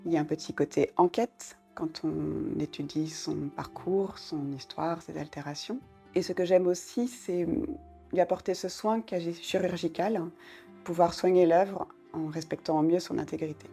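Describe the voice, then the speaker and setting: A woman's voice: on the low side, steady tone, normal speed, gravelly, dark tone, faint music behind. A middle-aged woman, a painting conservator, explains the work in a composed, articulate way for a documentary.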